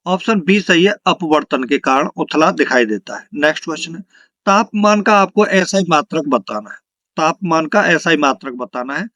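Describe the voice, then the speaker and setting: A man's voice: fairly high pitch, animated, normal speed, pushed and bright, no echo. A man teaching an exam lesson, reading out questions and answers and repeating them for emphasis.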